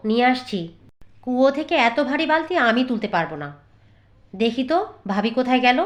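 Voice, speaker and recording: A woman's voice: fairly high pitch, fairly bright tone. A woman voicing a lazy, spiteful young sister-in-law in a cartoon fairy tale, whining and scheming. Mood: whiny, irritated. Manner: whiny complaining and gleeful scheming.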